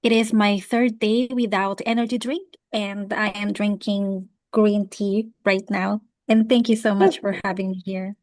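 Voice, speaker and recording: A woman's voice: medium pitch, steady tone, a little slow, hardly any pauses, darkish tone, dry recording, clean studio sound. A woman from the Philippines joining by video call, introducing herself cheerfully and laughing between sentences. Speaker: remote participant. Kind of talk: casual self-introduction.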